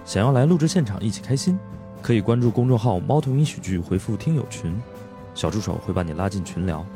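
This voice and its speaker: A man's voice: on the low side, animated, fast talker. A man hosting a chatty podcast, easygoing and affirming as he wraps up the episode. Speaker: podcast host. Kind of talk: casual chat and show outro. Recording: loud guitar music behind.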